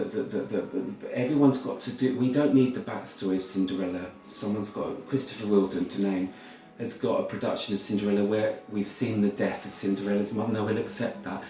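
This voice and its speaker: British guy rambling theatrically, stop-start storyteller chat, opinionated, lowish voice, super echoey room. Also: warm tone. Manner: theatrical, halting, repeats words, opinionated asides. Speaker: ballet storyteller and performer. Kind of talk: rambling informal talk with storytelling. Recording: very echoey room, far from mic.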